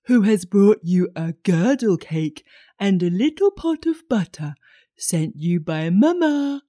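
A woman voicing a wicked male wolf who fakes a soft, sweet, coaxing voice. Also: mid-range voice, animated, slow, dry recording, clean studio sound. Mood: falsely sweet.

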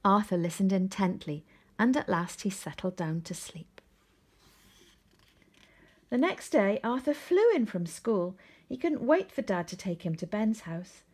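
Husky woman reading a children's story. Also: medium pitch, very animated, measured pace, darkish tone. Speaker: storybook reader. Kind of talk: expressive children's story read-aloud. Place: indoors at home, seated.

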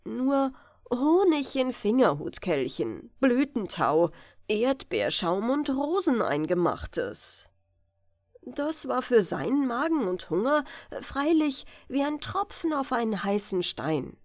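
A woman narrating a fairytale audiobook in an expressive bedtime-story style. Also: a little high, slow, no echo, studio quality.

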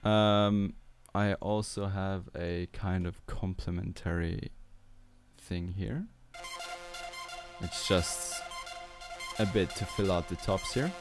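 A young man in his twenties from Austria with an Austrian German accent, walking through a music tutorial in a relaxed, hesitant way with many fillers, on a studio mic in a small home studio. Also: deep voice, takes it slowly, pauses often. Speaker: music producer. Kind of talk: tutorial walkthrough. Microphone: studio mic on boom arm with headphones.

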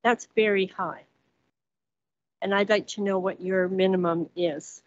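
A woman resident giving public comment at a council hearing, reading hesitantly from notes with many pauses. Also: mid-range voice, steady tone, slight background noise. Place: council chamber, standing at podium. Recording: dull muffled council chamber recording.

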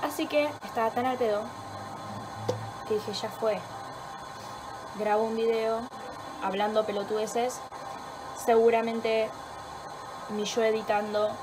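A woman's voice: a little high, flat delivery, a little slow, long pauses, noisy background. A young woman with a Rioplatense Spanish accent rambling casually and joking at herself while vlogging from her home kitchen, with a sore throat and a stuffy nose. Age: young adult. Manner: chatty, rambling and self-mocking, swears freely. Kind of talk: casual vlog chat.

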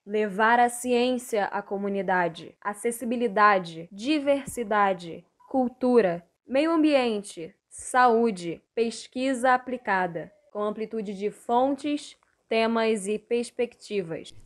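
A young woman, a student reporter, presenting a scripted segment to camera in a measured, deliberate way, recorded on a wired earphone mic. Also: on the high side. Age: young adult.

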